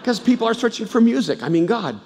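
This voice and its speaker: A middle-aged pastor from El Salvador preaching in a large church auditorium, his fairly high voice carrying a long hall reverb. He swings between loud, emphatic bursts and quiet, close asides, and he tells stories with frequent restarts. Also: sing-song, fast talker, slight background noise. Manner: conversational storytelling with repeated restarts. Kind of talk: sermon.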